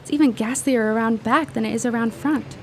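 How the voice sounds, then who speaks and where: An eager, inquisitive young woman of the 1910s, played by an actress, with a fairly high voice. She confides like an amateur sleuth in a period audio drama, with faint outdoor ambience behind her. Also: fairly quick, a little hiss or hum, lightly acted. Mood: eager, hushed excitement.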